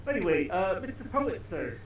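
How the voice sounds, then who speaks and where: A high-voiced man roleplaying in a tabletop game, quick and playful. His voice is recorded from a distance in an echoey room, with faint noise behind it. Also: sing-song. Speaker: player. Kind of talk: casual in-character roleplay.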